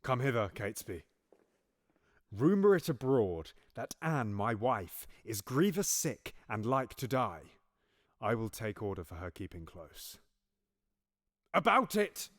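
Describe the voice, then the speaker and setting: A man's voice: medium pitch, big pitch swings, a little slow, a bit bright. An actor playing a scheming, paranoid usurping king in a Shakespearean audio drama, swinging between menacing whispers and sudden outbursts.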